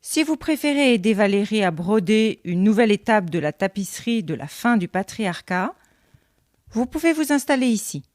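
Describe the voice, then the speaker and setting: A woman playing a kind, encouraging schoolteacher in an audio drama, gently addressing a group of young children. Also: medium pitch, big pitch swings, normal speed, no room sound, studio quality, close to an everyday voice. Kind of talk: French audio fiction drama.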